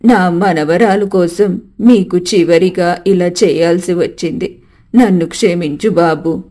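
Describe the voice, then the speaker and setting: A woman's voice: lowish voice, measured pace, dark tone, pitched below the performer's own voice. A woman voicing a desperate, apologetic old grandmother in a storybook tale, pleading and sorrowful. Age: older.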